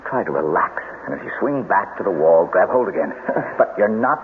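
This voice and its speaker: A man's voice: sits low, animated, a little fast. An actor playing a brave, take-charge young man in a vintage radio drama, firmly instructing the others. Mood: calm, reassuring. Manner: firm, instructing, commanding. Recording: tinny, noisy old radio broadcast.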